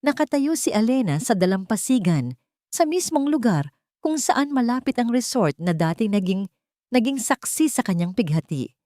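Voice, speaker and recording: Woman narrator with lively, dramatic Filipino delivery. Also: a little high, normal speed, no room sound, clean studio sound. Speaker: story narrator. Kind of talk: scripted dramatic story narration.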